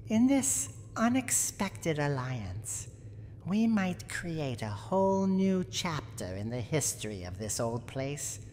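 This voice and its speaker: Gruff old granny of a haunted house, teasing, lively intonation, long reverb over noticeable noise. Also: female voice, extremely low pitch, heavily acted. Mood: wistful, teasing. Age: older. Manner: menacing yet secretly tender, theatrical. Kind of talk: scripted roleplay ASMR monologue.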